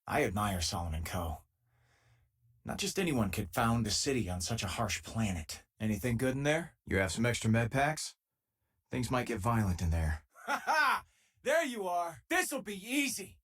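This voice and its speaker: An actor playing an easygoing, wry spacefaring miner and loyal companion in a video game, with a husky voice and a casual, dry-humoured way of talking. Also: male voice, on the low side. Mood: combat shouting. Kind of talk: scripted game voice lines, natural conversational delivery.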